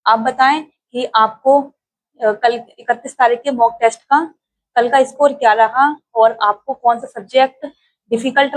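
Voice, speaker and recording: A woman's voice: on the high side, poor audio. A young woman from India talking casually to her viewers in a vlog, speaking steadily with frequent hesitations. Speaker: exam-prep YouTuber.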